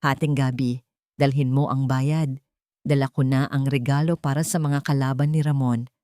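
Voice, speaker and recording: A woman's voice: rumbling low, level tone, moderate pace, warm tone, no room sound, clean studio sound, pitched below the performer's own voice. A woman voicing a cold, scheming male villain who gives curt, commanding instructions in an audio drama. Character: cold male villain arranging a secret payoff.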